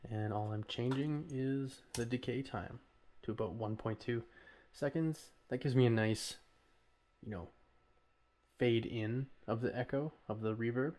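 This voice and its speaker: A man's voice: mid-range voice, unhurried, a little mellow. A man hosting an informal audio tutorial, talking through each step in a casual, somewhat hesitant way. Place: voice-over recorded while working at a computer.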